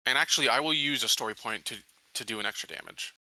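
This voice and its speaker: A man's voice: medium pitch, quick pace, pushed and bright, dry recording, rough recording. A man chatting casually as a player in a tabletop roleplaying game, informal with mild swearing.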